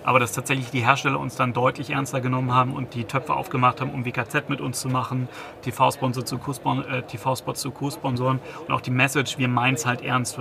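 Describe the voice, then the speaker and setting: A man's voice: mid-range voice, creaky. A man in his thirties, a company founder, giving a business interview, hesitant, with many restarts and fillers. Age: 30s.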